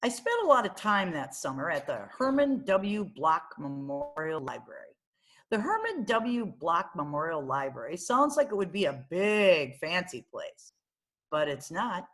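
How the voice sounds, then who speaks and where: A woman reading a children's novel aloud as storyteller, in an expressive narrating style. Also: lowish voice, unhurried.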